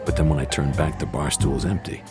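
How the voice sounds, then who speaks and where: A man's voice: a bass voice, fairly even intonation, brisk. An actor playing a world-weary barfly who tells his tale in hardboiled radio drama style, laconic and musing. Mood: intrigued. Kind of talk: radio drama narration, hardboiled first person. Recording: loud music bed behind the voice.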